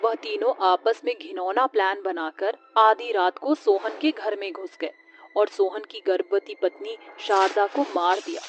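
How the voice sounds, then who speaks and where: A storyteller narrating a scripted horror story in the manner of a tale read aloud. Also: medium pitch. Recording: dull lo-fi audio, faint noise.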